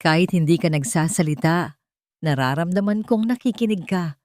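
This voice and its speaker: A woman voicing a warm, hopeful young female caregiver in a romance audiobook, tender and earnest. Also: on the low side, lively intonation, moderate pace, no room sound, studio quality, close to an everyday voice.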